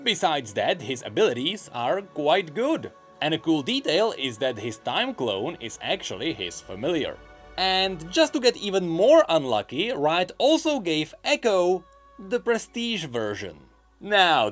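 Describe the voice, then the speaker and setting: Lively, higher-pitched man presenting gaming news. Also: measured pace, soft background music. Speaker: gaming news video host. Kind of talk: scripted news commentary.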